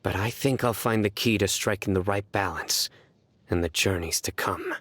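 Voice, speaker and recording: An actor playing a stern, earnest young judge and desert warrior in a video game, speaking in a measured, formal, deadpan way. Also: male voice, on the low side, dry recording. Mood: solemn, resolute. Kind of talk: scripted video game character voice lines.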